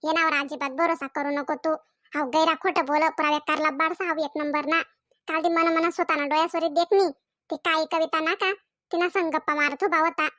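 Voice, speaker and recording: Lady doing a super high squeaky cartoon village girlfriend, khandeshi accent, jealous and always telling someone off, dry sound. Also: steady tone, a little fast, bright, forceful tone, no echo. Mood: accusing. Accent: Khandeshi (Ahirani). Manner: scolding, nagging, accusatory. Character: scolding, jealous young village woman in a comedy cartoon. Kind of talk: comedy cartoon dialogue in dialect.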